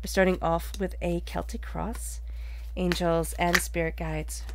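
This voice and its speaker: A woman's voice: lowish voice, flat delivery, measured pace, slight background noise. A woman with a congested voice gives a conversational spiritual tarot reading, earnestly repeating and rephrasing for emphasis. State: congested from allergies. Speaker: tarot reader.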